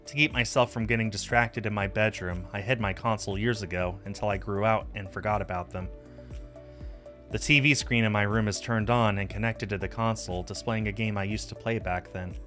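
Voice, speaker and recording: A man's voice: lowish voice, fairly even intonation, brisk, fairly bright tone. A man streaming a visual novel, reading the narration aloud and adding casual asides. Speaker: game streamer. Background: music.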